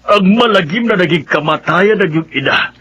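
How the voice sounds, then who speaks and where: A man's voice: high voice, animated, moderate pace, breathy edge, exaggerated voice. A man playing a stern, resentful middle-aged father in a radio drama, confrontational in manner. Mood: grave, stern. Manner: forceful, confrontational.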